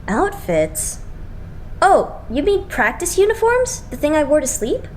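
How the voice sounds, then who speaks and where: Woman acting high, theatrical young idol. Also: big pitch swings, a little slow, slight background noise, low-quality recording. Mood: indignant, startled. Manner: theatrical, exclaiming. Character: dramatic, quirky young idol, self-declared ordinary citizen. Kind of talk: anime game fan dub acting.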